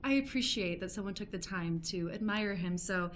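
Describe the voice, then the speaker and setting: A woman's voice: mid-range voice, moderate pace, a little hiss or hum, lightly acted. A woman playing an eager, awkward amateur journalist in an improvised radio play, hesitant and prying in a polite way.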